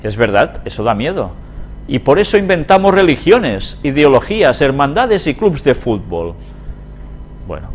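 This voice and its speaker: A man's voice: on the high side, slight background noise. A man with an accent from Spain giving a religious lecture as a teacher, reading aloud and commenting conversationally, often putting questions to his listeners. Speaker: Bible prophecy teacher.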